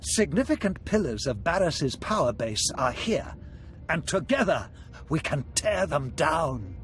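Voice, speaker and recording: A man's voice: on the high side, big pitch swings, a little slow, fairly bright tone, a little hiss or hum. A man playing a scheming, courtly Sith lord in a video game, urbane and theatrical, savoring his words. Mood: sly, conspiratorial.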